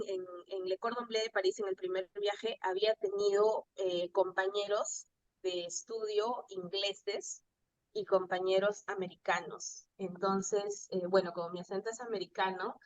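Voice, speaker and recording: A woman's voice: mid-range voice, fairly even intonation, unhurried, low-quality recording. A woman with a Peruvian Spanish accent talking casually as an interview guest, telling anecdotes in a chatty way and acting out bits of dialogue. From Peru. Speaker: guest, pastry chef. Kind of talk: casual conversational storytelling.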